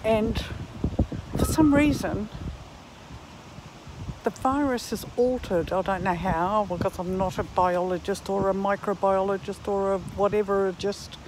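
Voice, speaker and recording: A woman in her late sixties talks slowly and reflectively, musing aloud with halting pauses. She is vlogging on a walk outdoors, with faint ambient and vehicle noise behind her. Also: mid-range voice, a little mellow, rough recording. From Netherlands and New Zealand. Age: 60s. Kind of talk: reflective walking vlog monologue. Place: outdoors, walking in a park.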